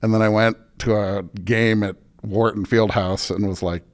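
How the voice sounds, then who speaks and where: A man's voice: lowish voice, flat delivery, normal speed. A middle-aged American man chatting casually and telling anecdotes as an interview guest on a podcast.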